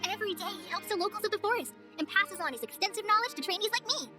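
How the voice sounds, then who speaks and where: A woman playing a kindly young forest ranger trainee in a video game, gentle and earnest, with a hesitant, apologetic manner. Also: extremely high pitch, lively intonation, very fast. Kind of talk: video game cutscene voice acting. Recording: music behind the voice.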